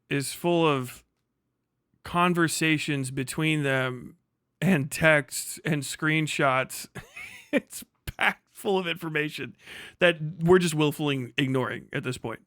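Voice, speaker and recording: A man's voice: on the high side, slow pace, fairly bright tone, dry recording, clean studio sound. A man doing casual, profane reaction commentary as a streamer, exasperated and emphatic, rambling as he argues.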